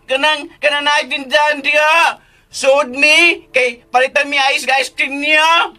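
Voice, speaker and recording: A simple-minded grown man with the mind of a child in a radio drama. His very high, bright voice comes out slowly and haltingly, stammering and repeating words with guileless excitement. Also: exaggerated voice. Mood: flustered.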